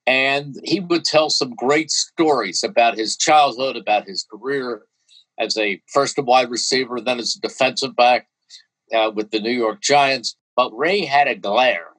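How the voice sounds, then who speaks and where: A man's voice: medium pitch, measured pace, fairly bright tone, poor audio. A man in an interview, fondly and steadily recalling a former coach. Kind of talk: reflective interview.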